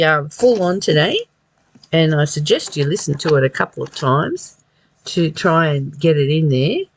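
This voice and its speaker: A person reading a spiritual text aloud and commenting on it informally, in a reflective, halting way that often repeats phrases. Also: medium pitch, no echo. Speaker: reader and commentator of a spiritual study.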